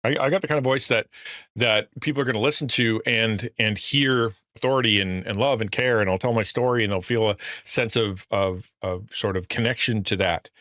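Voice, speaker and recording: A man's voice: on the low side, fairly quick, sharp tone, no room sound, clean studio sound. A middle-aged man, a pastor, talking earnestly and rambling on a livestream with a fatherly voice. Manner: earnest, rambling with restarts, reads chat aloud. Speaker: pastor and streamer. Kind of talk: casual livestream talk.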